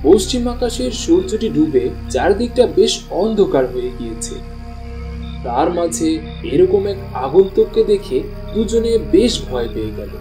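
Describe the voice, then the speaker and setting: A man's voice: high voice, big pitch swings, a little slow, over music, low-quality recording. A man reading scripted storytelling narration as the narrator of a tale.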